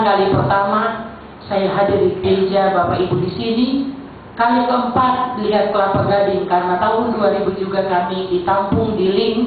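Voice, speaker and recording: A middle-aged woman from Central Sulawesi with a Sulawesi accent, chair of a church council, giving a conversational testimony to a congregation. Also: mid-range voice. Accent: Sulawesi Indonesian. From Central Sulawesi, Indonesia. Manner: conversational, addressing the congregation directly. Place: church hall. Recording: echoey church hall, distant mic, phone-like band, faint noise.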